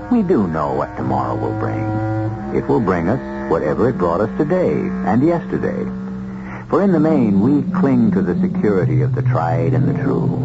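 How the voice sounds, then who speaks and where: A man's voice: low-pitched, vocal fry, full character voice. A man playing the grave, philosophizing host of a 1970s radio mystery, narrating in a theatrical, portentous manner. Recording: dull vintage radio, loud music behind.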